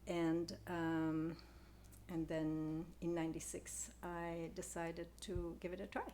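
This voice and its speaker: An older woman from Belgium, answering interview questions in a soft, hesitant way with many pauses and fillers. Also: fairly low pitch, little pitch movement, slight background noise. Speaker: guest floral designer. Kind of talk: casual interview.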